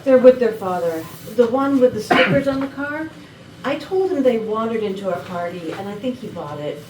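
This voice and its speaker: A woman's voice: on the high side, animated, normal speed, lightly acted. An actress playing a frazzled young woman in a comedy play reading, exasperated and emphatic. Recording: distant, echoey room with faint noise.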